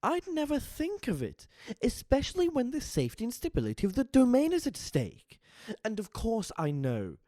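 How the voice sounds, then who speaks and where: A man's voice: very high-pitched, huge pitch swings, moderate pace, few pauses, mellow, no room sound, exaggerated voice. A man playing a sly, impudent vampire seer in an audio drama, speaking in a florid, mock-courteous, dramatic manner. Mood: mock-wounded, impudent.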